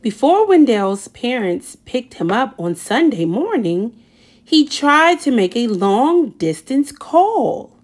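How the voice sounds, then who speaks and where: A woman storyteller reads a picture book aloud for children. Her voice is fairly high and very animated, and she takes her time, stressing key words dramatically. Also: slow pace. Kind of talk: expressive picture book narration.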